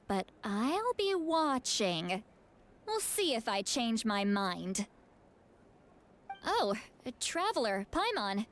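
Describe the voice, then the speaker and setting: A woman voicing a feisty, proud grandmother in a video game, brash, scolding and teasing with gruff affection. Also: fairly high pitch, a little hiss or hum. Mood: teasing threat, then relaxed.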